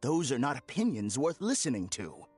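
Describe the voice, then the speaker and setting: A man's voice: mid-range voice, big pitch swings, moderate pace, darkish tone, breathy edge, faint music behind. An actor playing an arrogant, argumentative man with a grudge in a video game, scornful and condescending. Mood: scornful, indignant.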